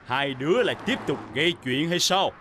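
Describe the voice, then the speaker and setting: A man's voice: a little high, lively intonation, brisk, close to an everyday voice. A man voicing a stern traffic authority in a children's cartoon dub, firm and reproachful with youngsters.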